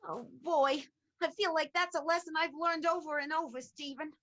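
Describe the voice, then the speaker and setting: A woman's voice: sits high, fairly even intonation, moderate pace, fairly bright tone. A woman playing a naive, fretful werewolf's pupil in an improvised radio comedy, wide-eyed and hesitant. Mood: weary, frustrated. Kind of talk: improvised radio play acting.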